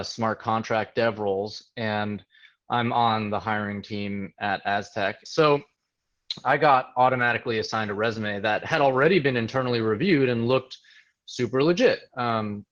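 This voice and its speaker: A man from the New York area tells his story in an easy, conversational way, pausing on fillers and restarting thoughts. His voice sits at an ordinary middle pitch. The recording is dull and lo-fi, like a remote call. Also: fairly bright tone. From New York area, USA. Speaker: interview guest, tech hiring manager. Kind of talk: casual storytelling interview.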